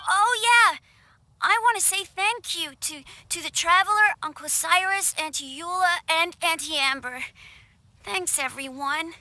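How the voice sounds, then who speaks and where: A woman voicing a sweet, excitable little boy in a video game cutscene, with noise in the background. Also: very high voice, measured pace, heavily acted. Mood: grateful, wistful.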